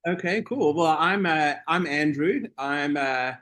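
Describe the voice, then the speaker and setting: Middle-aged man telling a meandering story. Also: fairly high pitch. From South Africa. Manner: relaxed, meandering storytelling with frequent pauses. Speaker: guest, operating room nurse. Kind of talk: casual interview conversation.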